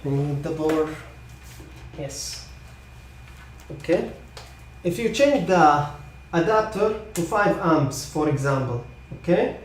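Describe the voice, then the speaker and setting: A man who is a non-native English speaker giving live technical training, repeating his points reassuringly and often checking with a quick okay. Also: fairly high pitch, lively intonation, a little hiss or hum. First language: not English. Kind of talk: live online product training. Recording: echoey room, distant microphone.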